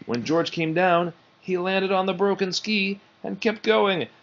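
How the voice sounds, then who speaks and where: A man reading a children's picture book aloud in an expressive, animated storytelling way. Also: high-pitched, unhurried. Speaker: storybook reader. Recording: dull, muffled lo-fi recording.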